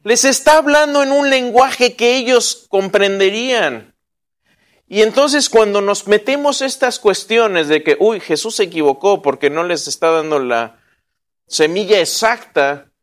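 A man's voice: high-pitched, expressive, measured pace. A man, teaching a casual Bible class, joking and quizzing his listeners. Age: 40s. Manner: joking and chatty, asks the audience questions.

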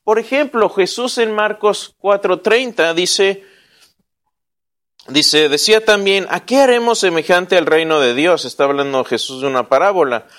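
A man's voice: high-pitched, moderate pace, a bit bright. A man, teaching a casual Bible class, joking and quizzing his listeners. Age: 40s. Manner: joking and chatty, asks the audience questions.

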